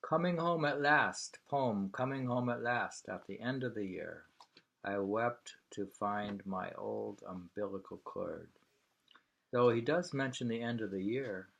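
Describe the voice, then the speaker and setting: Slow, low-pitched musing man. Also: vocal fry, fairly bright tone, highs cut off. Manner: unhurried, musing, pausing to hum and remark. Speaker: reader of a travel book and poems, commenting. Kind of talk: reading aloud with casual conversational asides.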